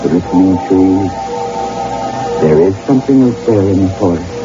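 An actor playing a nervous hunter narrating an eerie ordeal in a brooding, deliberate way for an old radio drama. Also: male voice, low voice, lively intonation. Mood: haunted, brooding. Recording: muffled old radio recording over music.

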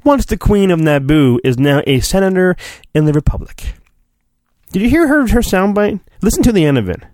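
Playful man with a lively, higher-pitched voice. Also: moderate pace, no room sound, clean studio sound. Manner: playful, mock-earnest, acts out sound effects. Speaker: podcast host reading a children's storybook. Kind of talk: comic dramatic storybook reading with chatty asides.

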